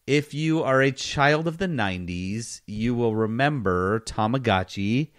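A man's voice: mid-range voice, expressive, measured pace, fairly bright tone. A man hosting a gaming podcast in casual, joking chat with a mock-incredulous manner. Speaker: podcast host.

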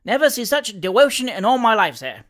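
Breezy Cockney servant for a storybook, played by a man, cheeky and emphatic, with lively swings in pitch. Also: fairly quick. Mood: cheerfully emphatic. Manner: emphatic, colloquial.

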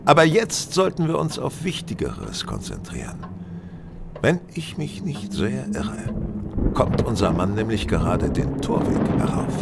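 A male actor playing a shrewd, self-assured detective who explains things in measured, eloquent detail in a German audio drama. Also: medium pitch, wildly swooping pitch, creaky, very noisy, heavily acted. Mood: calm, confident.

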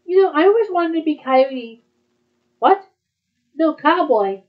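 High-pitched woman, muffled sound. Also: animated, measured pace. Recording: dull, muffled lo-fi audio.

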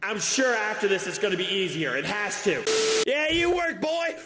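A young man giving loud, crude comedy commentary over video games, yelling and joking at the screen, recorded on a headset in a bedroom setup with game music behind him. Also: sits high, sing-song, moderate pace, heavy background noise. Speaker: gaming YouTuber.

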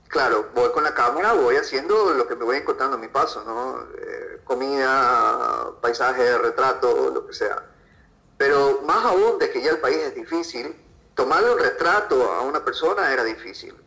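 A man's voice: medium pitch, expressive, normal speed, vocal fry, pushed and bright, poor audio. A man with an Ecuadorian accent, answering conversationally as an interview guest. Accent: Ecuadorian Spanish. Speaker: podcast guest, freelance photographer.

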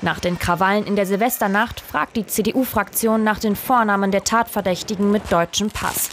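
A woman's voice: mid-range voice, moderate pace, fairly bright tone, slight background noise. A woman reading a scripted voice-over for a television news report. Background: music.

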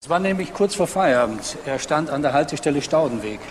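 An actor playing a plain-spoken, insistent bus driver in a German crime radio drama, talking colloquially. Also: male voice, on the high side, expressive, a little fast, slight background noise, close to an everyday voice.

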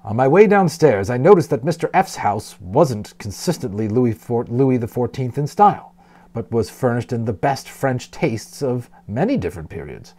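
Male audiobook narrator, expressive and dramatic, soft-edged tone, big swings in intonation. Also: mid-range voice, moderate pace. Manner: dramatic, with brief explanatory asides. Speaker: audiobook reader, storyteller.

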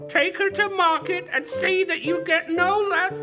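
A woman's voice: extremely high pitch, steady tone, measured pace, music in the background. A woman playing a bossy farm mother in a live children's radio play, hollering commands at her son. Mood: commanding. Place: live performance in a library room.

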